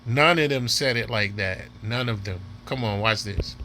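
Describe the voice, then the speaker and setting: A man with a sharp voice reacting off the cuff to a clip on his video channel, taking his time and repeating himself, faint noise around him. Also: on the low side, moderate pace. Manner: repeats himself, urging viewers to watch. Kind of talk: casual off-the-cuff commentary on a dating show.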